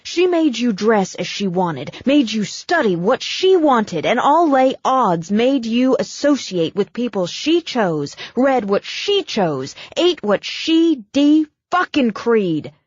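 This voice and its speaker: A woman's voice: mid-range voice, animated, takes it slowly, darkish tone, husky, no room sound, highs cut off. A woman voicing a blunt, protective grown man who swears when angry, in an audiobook. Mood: indignant.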